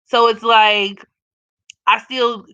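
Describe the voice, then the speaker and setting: Raspy, bright-toned woman on a live stream. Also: on the high side, steady tone, extremely slow, no room sound, dull audio. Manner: blunt, profane and opinionated, laughs often. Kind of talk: casual live stream chat reading comments.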